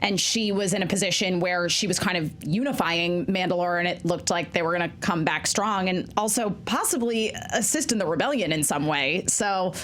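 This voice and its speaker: Excited woman discussing a show. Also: on the low side, slight background noise. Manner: excited, fast-flowing fan enthusiasm. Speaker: panelist. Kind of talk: casual fan discussion.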